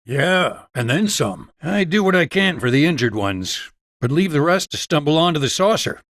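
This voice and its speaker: Folksy Southern doctor with lively pitch. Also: male voice, moderate pace, no echo, studio quality. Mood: pensive, serious. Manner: folksy, easygoing drawl with wry humour. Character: folksy, wry small-town doctor and researcher. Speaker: video game voice actor. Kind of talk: character dialogue in a video game.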